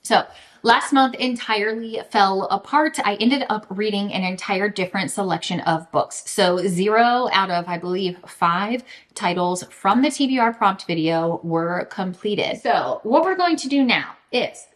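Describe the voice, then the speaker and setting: A woman with a medium-pitched voice talks to her audience in a casual book vlog. Her delivery is informal and animated, with plenty of emphasis. She has a self-deprecating, humorous way of putting things. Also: measured pace. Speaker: book vlogger. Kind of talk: casual chatty vlog to camera.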